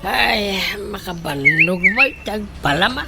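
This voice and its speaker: A woman playing an excitable, gullible parent in a comedic radio drama, exclamatory and exaggerated, with an Ilocano Filipino accent. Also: mid-range voice, a little slow, gravelly, loud music behind the voice, full character voice. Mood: stubborn. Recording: very noisy background.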